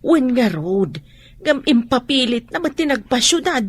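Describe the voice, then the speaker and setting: A woman's voice: sits high, big pitch swings, unhurried, breathy edge, full character voice. A woman with an Ilocano accent playing a worried, scolding middle-aged rural mother in a radio drama.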